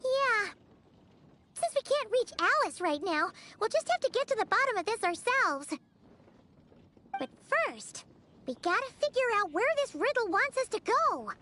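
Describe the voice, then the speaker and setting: A woman voicing a small, childlike, excitable fairy companion in a video game. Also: very high voice, a little slow, slight background noise, heavily acted. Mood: puzzled, determined.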